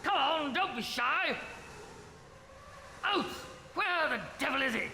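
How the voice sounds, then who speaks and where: An actor playing a pompous, expedition captain in a live radio comedy. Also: male voice, extremely high pitch, lively intonation, takes it slowly, gravelly, bright, forceful tone, a little hiss or hum, full character voice. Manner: pompous, clipped, posh. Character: pompous upper-class male expedition captain. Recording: echoey live hall broadcast.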